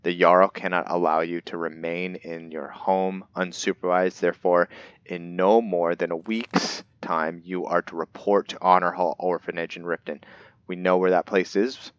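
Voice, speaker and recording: A man's voice: deep voice, little pitch movement, normal speed, clean tone, no room sound, lo-fi sound. A man hosting a gaming roleplay video, narrating his playthrough in a casual commentary style.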